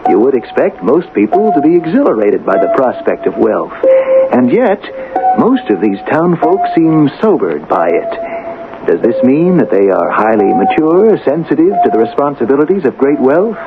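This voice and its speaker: An earnest radio reporter speaks in formal, rhetorical phrases with animated pitch. It sounds like an old radio drama, dull in tone, with loud music behind him. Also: male voice, normal speed. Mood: earnest, curious.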